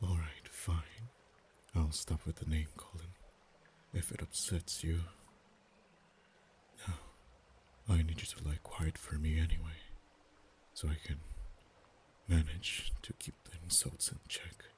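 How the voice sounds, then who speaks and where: A protective dragon in human form, voiced by a man, murmurs in a very deep, low voice. He speaks slowly and softly, often slipping into a whisper, tender with a teasing edge, in an ASMR roleplay. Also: little pitch movement, a little hiss or hum. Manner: soft, hushed, often whispered.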